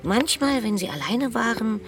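An actress playing a motherly troll who tells old tales in a children's radio play, sometimes hushing to a whisper. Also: fairly high pitch, very animated, normal speed. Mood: storytelling. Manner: storytelling, hushed at times. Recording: loud music bed under dialogue.

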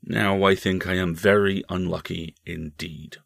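Man as weary-worded mother, bitter, evasive, measured, resigned, creaky, dry close recording, storybook dialogue. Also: low voice, little pitch movement, fairly bright tone, no echo, exaggerated voice.

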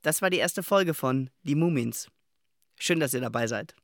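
A man's voice: a little high, expressive, normal speed, loud music behind the voice. A man reading a friendly scripted outro for a children's podcast. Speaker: podcast host.

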